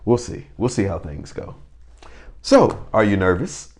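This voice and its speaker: A man hosting a playful comedic interview, speaking in theatrical, mock-formal phrases with drawn-out hums. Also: lowish voice, sing-song, darkish tone, reverberant. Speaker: talk show host.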